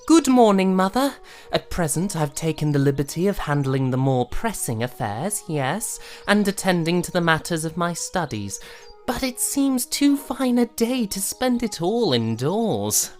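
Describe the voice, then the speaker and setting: A woman's voice: fairly low pitch, very animated, unhurried, few pauses, darkish tone. A woman playing a cheerful, eager young prince in a fan audio drama, with courtly, exclaiming speech. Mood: polite, bright. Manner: breathless, exclaiming, courtly old-fashioned phrasing. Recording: music under the voice.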